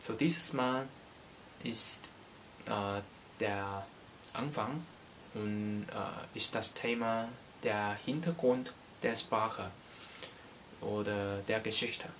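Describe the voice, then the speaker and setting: A man's voice: on the low side, fairly even intonation, a bit bright, a little hiss or hum. A young man from Hong Kong, a native Cantonese speaker, giving a casual language lesson in a small bedroom, talking with many hesitations into a camera in front of him. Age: young adult. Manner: slow, halting, many hesitations. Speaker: language teacher. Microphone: camera in front at desk distance.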